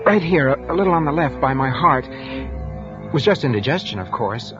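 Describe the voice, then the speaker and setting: A man's voice: medium pitch, expressive, normal speed, over music, close to an everyday voice. Man acting a jittery bookkeeper, muffled old radio. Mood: brooding. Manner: hesitant, stammering, defensive. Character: meek, jittery, touchy male bookkeeper, henpecked daydreamer. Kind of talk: 1940s radio drama acting with first-person narration. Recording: muffled vintage radio broadcast.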